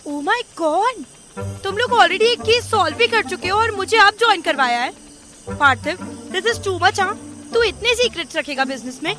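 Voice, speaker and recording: A woman's voice: very high voice, animated, normal speed. A woman playing an outspoken young business partner in an audio drama, casual and indignant, mixing in English words. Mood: indignant surprise. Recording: music under the voice.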